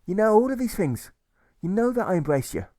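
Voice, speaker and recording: A man's voice: high voice, big pitch swings, brisk, warm tone, no room sound, studio quality, pitched above the performer's own voice. A man voicing an exasperated, loyal army deserter in an audiobook, emphatic and insistent.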